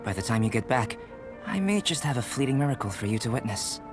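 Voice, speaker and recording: A man playing a young alchemist in a video game, polite and curious, speaking in a measured, formal, thoughtful way. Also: mid-range voice, very animated, lightly acted. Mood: gracious. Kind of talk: English video game character dialogue. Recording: music behind the voice.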